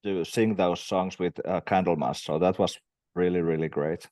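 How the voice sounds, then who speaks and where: A man with a fairly low voice talks as a guest in a relaxed interview, wandering through his stories and pausing with fillers whenever a name escapes him. The sound is dry and close, with almost no room around it. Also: no echo. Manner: rambling, searches for names with many fillers. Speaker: musician guest. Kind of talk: casual interview chat.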